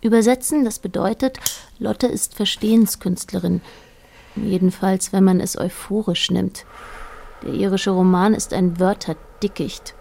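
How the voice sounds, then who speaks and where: A woman narrating a literary radio play in a measured, precise manner. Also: mid-range voice, steady tone, creaky, dark tone.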